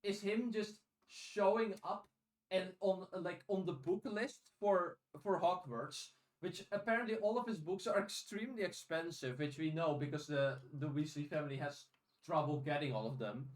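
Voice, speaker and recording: Guy podcast host, kinda high voice, far from the mic in a roomy space, rambly and sweary. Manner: halting, restarts phrases, swears casually. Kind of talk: casual discussion of audiobooks and fiction. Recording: roomy echo, distant from microphone.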